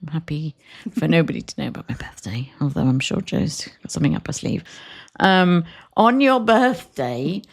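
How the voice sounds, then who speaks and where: Gravelly low-voiced woman chatting. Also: lively intonation, normal speed, hardly any pauses. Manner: chatty, rambling, laughs easily. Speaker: podcast co-host. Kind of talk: casual chat.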